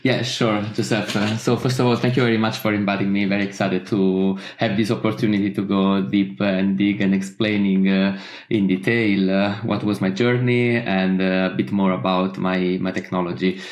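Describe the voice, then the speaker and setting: A man from Italy with an Italian accent, answering questions in a podcast interview about his company, pausing often with fillers and restarts. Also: sits low, little pitch movement, roomy sound. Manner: hesitant, many "uh" fillers and restarts. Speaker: startup founder, guest.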